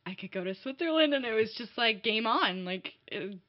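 A young woman chatting casually, telling lively stories and laughing often. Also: fairly high pitch, moderate pace, dry recording. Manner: animated storyteller, laughs often. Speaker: guest. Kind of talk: casual conversation. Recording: dull, dry, muffled sound.

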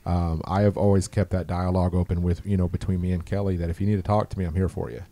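A middle-aged man with a deep voice, talking earnestly and slowly about raising his daughter in a casual chat. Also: little pitch movement, mellow, dry recording. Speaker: guest, father.

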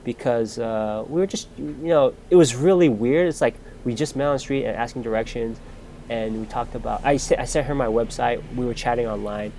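A man's voice: mid-range voice, brisk, mellow, slight background noise. A man in the middle register tells a long personal story on a podcast, chatting casually. He talks freely and loosely, often breaking off and starting his sentences over.

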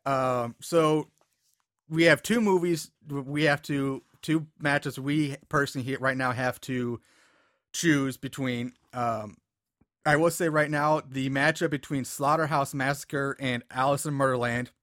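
A man's voice: medium pitch, steady tone, a little slow, fairly bright tone, no echo, studio quality. A man hosting a podcast in a casual, profane chatting style. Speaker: podcast host. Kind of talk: casual chat about bad horror movies.

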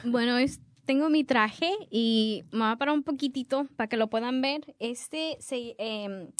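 A young woman from Guatemala answers interview questions in a soft, hesitant way, with many small pauses and fillers. Her voice sits a little high and sounds smooth and resonant. The recording is close and dry. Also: dry recording. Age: young adult. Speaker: guest.

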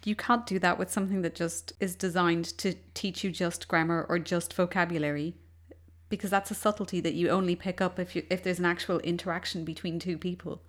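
A woman who teaches languages, interviewing a colleague in a measured, reflective way. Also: lowish voice, fairly even intonation. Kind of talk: casual conversation.

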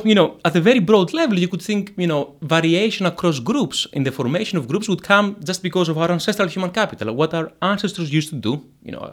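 A man from Greece, explaining his economics research in an interview, full of fillers and restarts. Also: on the high side. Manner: hesitant, many fillers and restarts. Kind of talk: academic interview.

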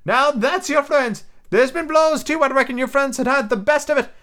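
A man's voice: very high-pitched, normal speed, a bit bright, pitched above the performer's own voice. A man voicing a half-mad old castaway who speaks in a rustic pirate dialect, wheedling and anxious.

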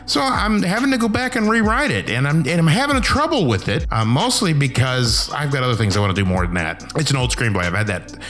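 A man's voice: medium pitch, big pitch swings, quick pace, fairly bright tone, faint music behind. A man giving casual, instructional advice as a screenwriter, rambling and emphatic, with a wry, self-deprecating manner. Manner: self-deprecating, wry, acts out imagined reactions. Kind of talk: casual instructional talk to camera.

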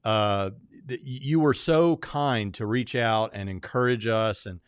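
A man's voice: medium pitch, unhurried, smooth, no echo, studio quality. A man hosting an interview show, talking in an earnest, conversational way and circling around his points.

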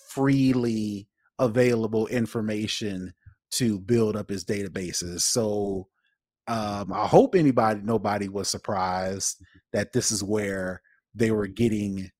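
A man co-hosting a podcast, giving casual, opinionated commentary with emphatic, deliberate phrasing. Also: on the low side, a little mellow, no room sound, studio quality. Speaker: podcast co-host.

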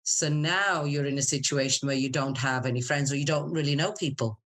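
Middle aged lady, low voice, chatting away candid and informal like a podcast guest. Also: fairly quick, fairly bright tone. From Scotland. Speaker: podcast guest, military spouse and business owner. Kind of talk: casual interview chat with personal stories.

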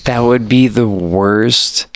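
A young man as a podcast guest, talking in a slow, halting way with lots of fillers. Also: on the low side, clean tone, dry recording. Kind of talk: casual chat.

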